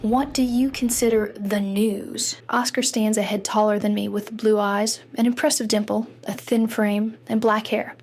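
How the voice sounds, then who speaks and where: A woman's voice: mid-range voice, little pitch movement, normal speed, hardly any pauses, a little mellow, lightly acted. A woman voicing a self-conscious, bookish fifteen-year-old girl, narrating in a wry, introspective way for a comic dub. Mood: challenging, then wistful, self-doubting. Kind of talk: scripted first-person narration for a comic dub.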